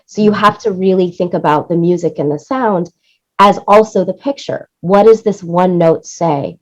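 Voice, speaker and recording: Low-voiced woman explaining, dull audio. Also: moderate pace. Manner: explanatory, checks in with "right?" and "you know". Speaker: interview guest, music supervisor and producer. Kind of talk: conversational interview answers.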